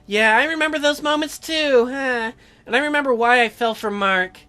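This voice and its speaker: A man's voice: very high-pitched, normal speed, bright, forceful tone, clean tone, faint music behind, pitched above the performer's own voice. A man voicing a sweet, apologetic teenage girl, reading visual novel dialogue aloud. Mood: wistful.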